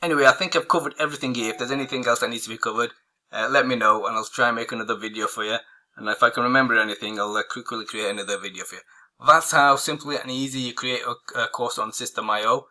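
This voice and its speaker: A man walking viewers through a software tutorial, hoarse and losing his voice, rambling with many fillers and restarts. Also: medium pitch, fast. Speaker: online marketing tutor. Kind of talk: casual screen-recorded tutorial.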